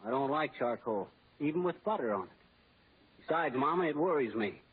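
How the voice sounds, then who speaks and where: An actor playing a timid, hesitant henpecked American husband in an old-time radio comedy, meek and stammering. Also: male voice, medium pitch, animated, brisk, breathy edge. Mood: mild grumbling. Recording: tinny, noisy old radio broadcast.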